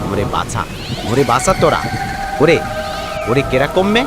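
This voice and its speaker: A man voicing a terrified villager pleading for his life in a horror cartoon, wailing in a Barisal accent, under loud music. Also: mid-range voice, very animated, normal speed, lightly acted. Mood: panicked terror. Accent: rural southern Bangladeshi (Barisal).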